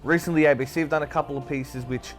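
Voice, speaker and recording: Australian male co-host, slightly high voice, conversational news discussion over music. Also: fairly even intonation, fast talker. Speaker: co-host of a video game show.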